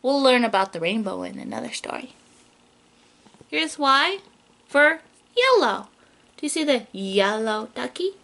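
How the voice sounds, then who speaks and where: A woman with a high, very lively voice reads a children's picture book as a preschool teacher would. She takes her time, stretches key words playfully and puts simple questions straight to her little listeners. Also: a little slow, long pauses. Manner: animated, questioning, speaks directly to small children. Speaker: early childhood teacher reading to young children.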